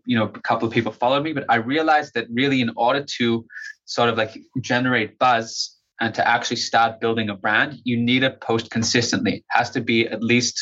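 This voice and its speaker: A young man talks through his answers in a bright, forceful voice, stumbling over restarts and leaning on fillers. His voice comes through a slightly dull, lo-fi recording. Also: medium pitch. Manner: hesitant, many restarts and fillers. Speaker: interview guest. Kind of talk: conversational interview answer.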